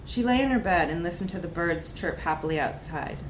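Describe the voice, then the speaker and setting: A woman's voice: fairly low pitch, animated, brisk. Woman reading a story aloud, echoey room. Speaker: reader of a short story. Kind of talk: live literary reading of a comic story. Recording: echoey room with background noise.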